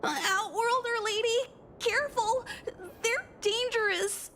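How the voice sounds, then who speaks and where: A woman's voice: extremely high pitch, slow, sharp tone, slight background noise, heavily acted. A woman voicing a timid, earnest little boy in a video game. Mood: alarmed. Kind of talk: anime-style video game dialogue. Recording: background music throughout.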